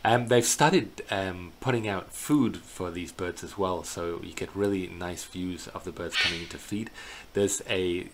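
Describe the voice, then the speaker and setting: Middle-aged male tour guide, very low voice, informal slideshow narration with hesitant phrasing, faint noise on the recording. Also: measured pace, hardly any pauses. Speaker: bird tour guide presenting a virtual tour. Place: indoors in a small plain room. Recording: clear background noise.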